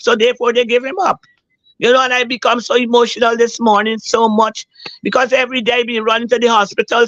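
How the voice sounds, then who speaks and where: Hoarse woman on a dull line. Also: on the high side, fairly bright tone, dry recording. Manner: earnest, repeats herself for emphasis. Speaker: caller on a talk show. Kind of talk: emotional personal account.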